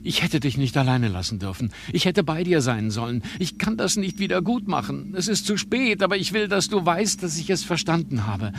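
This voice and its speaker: A guilt-stricken grown son in a radio drama, with a fairly high male voice. He is earnest, halting and emotional, over faint background noise. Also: sing-song, creaky. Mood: pleading, guilt-ridden. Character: guilt-ridden, pleading, gullible grieving son. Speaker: actor in an audio drama. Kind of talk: radio play acting.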